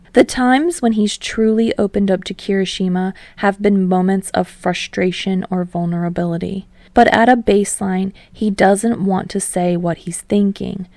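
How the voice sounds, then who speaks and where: A woman's voice: mid-range voice, creaky, warm tone, no echo. A woman reading scripted fiction aloud as an audiobook narrator.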